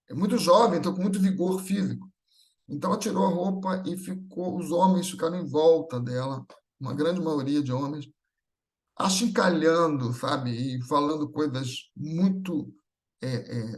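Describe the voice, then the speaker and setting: A man's voice: on the high side, a little mellow. A Brazilian man gives an informal religious talk, conversational, telling anecdotes with bits of acted-out dialogue and often checking for agreement. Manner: conversational, often seeking agreement with short tag questions; acts out everyday dialogue in anecdotes. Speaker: speaker at a Spiritist gathering.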